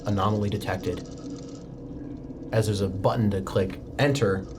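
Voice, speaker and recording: A man's voice: lowish voice, fairly even intonation, moderate pace, background noise. A man narrating a tabletop game as game master, describing scenes steadily with the odd filler. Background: music.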